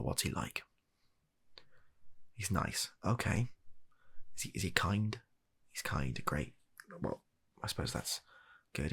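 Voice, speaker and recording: A British man playing a weary, reluctant, baffled doctor in a comedy ASMR roleplay, talking softly in a halting, deadpan, exasperated way. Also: low-pitched, vocal fry, breathy edge, heavily acted. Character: weary, reluctant, baffled male doctor humouring an absurd patient.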